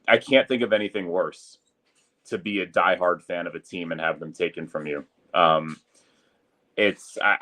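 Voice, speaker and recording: This is a young man with a very low voice, talking casually as a guest on a podcast. He speaks eagerly and loosely and keeps padding his sentences with little fillers. His delivery stays relaxed and conversational throughout. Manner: enthusiastic, loose and chatty, leans on fillers like "kind of thing". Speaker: arena public address announcer, guest.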